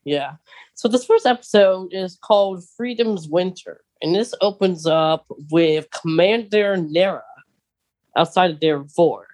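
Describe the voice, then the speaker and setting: A man's voice: sits high, measured pace, a little mellow. A man co-hosting a podcast, talking casually and eagerly as a big fan, with plenty of ums. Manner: enthusiastic fan, talkative, many fillers. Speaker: podcast co-host.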